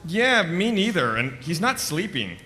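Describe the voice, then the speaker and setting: An earnest, anxious cartoon soldier, speaks deferentially. The voice is slightly high and sharp with lively swings, over a faint noisy background. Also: male voice, measured pace, heavily acted. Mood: puzzled. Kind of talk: comedic table read of animated series.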